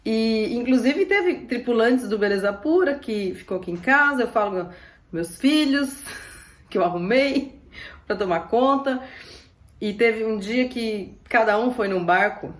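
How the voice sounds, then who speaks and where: A woman's voice: a little high, expressive, measured pace. A woman from Ilhabela, chatting casually to camera about sailing while losing her voice and coughing. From Ilhabela, Brazil. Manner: chatty and upbeat, with frequent laughs and asides. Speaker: sailing vlogger.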